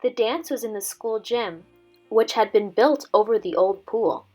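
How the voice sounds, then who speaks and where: A woman in her twenties with a fairly high voice talks as a teacher presenting a storybook for children. Music plays behind her as she shares the book. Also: a little slow, a little mellow. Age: 20s. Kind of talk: casual intro chat and storybook read-aloud.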